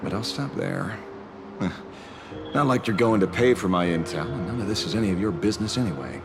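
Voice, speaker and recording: A man's voice: fairly low pitch, animated, a little fast, vocal fry, over music. A man playing a cynical, cagey investigator in a video game, with a sardonic, teasing drawl. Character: sly, cynical male investigator, taunting and cagey.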